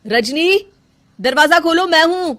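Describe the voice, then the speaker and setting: A woman's voice: very high voice, lively intonation, measured pace, a bit bright, no room sound, pitched above the performer's own voice, exaggerated voice. A woman voicing an earnest young husband in a cartoon story, concerned and insistent.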